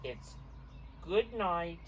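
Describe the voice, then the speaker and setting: An older woman reading a picture book to preschoolers, explaining simply and asking the listeners questions with clear emphasis. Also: lowish voice, expressive, very slow. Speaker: children's storybook reader and teacher. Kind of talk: picture-book read-aloud for preschoolers, talking to the children. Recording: distant mic with faint background noise.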